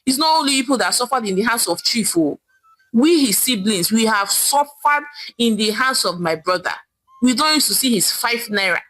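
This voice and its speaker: A woman's voice: fairly high pitch, lively intonation, moderate pace, a bit bright, no echo, faint music behind, rough recording. A woman playing a wheedling Nigerian sister. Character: grasping adult sister of a rich man.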